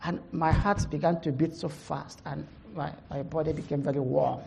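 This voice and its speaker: A middle-aged woman pastor with a heavy Nigerian accent gives a conference testimony, halting, with many fillers, laughing at herself. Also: low-pitched, steady tone, vocal fry, dark tone, slight background noise.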